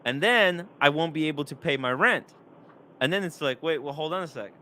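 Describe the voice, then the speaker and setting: A young man hosting a live stream, rambling and animated, reading chat comments aloud. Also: on the high side, fairly quick.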